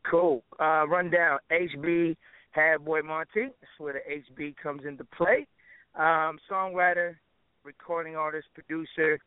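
A man's voice: fairly high pitch, fairly even intonation, measured pace, smooth, no room sound, narrow, tinny audio. A man chatting casually as an interview guest, with informal, slangy phrasing and frequent "you know" asides. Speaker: interview guest, musician and producer.

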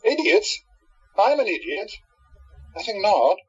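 A man playing an irritable, stubborn, grieving bookshop keeper in a radio play, husky and dramatically self-justifying. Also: high-pitched, sing-song, unhurried, muffled recording, exaggerated voice. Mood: indignant.

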